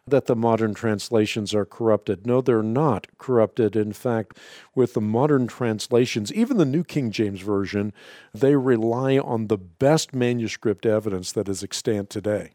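A man whose first language is Dutch, answering a caller on a radio show in a measured, authoritative way. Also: medium pitch, warm tone, no room sound, clean studio sound. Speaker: radio Bible-answer host.

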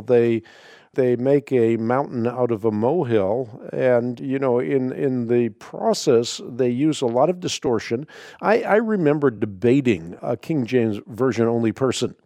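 A middle-aged man whose first language is Dutch hosts a call-in radio show from a dry studio. His voice is mellow and warm, and he answers callers emphatically, stumbling into repeated words as he works through each point. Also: mid-range voice, expressive, measured pace, dry recording. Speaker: radio host.

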